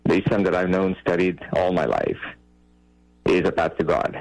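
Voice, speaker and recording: A man from Bangladesh speaks as a caller on a radio show. His voice is very low and creaky, with a dry sound. He explains himself earnestly, in a measured and halting conversational way. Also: a little mellow, dry recording, low-quality recording. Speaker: radio call-in guest. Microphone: call-in line.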